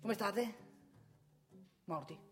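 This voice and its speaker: A woman's voice: mid-range voice, drawn-out words, faint music behind. An actress playing a cocky, crude young man with a northeastern Italian accent in a comic stage piece. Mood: brash, rowdy. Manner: blunt, slangy, addressing the audience. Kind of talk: comic theatrical monologue and dialogue.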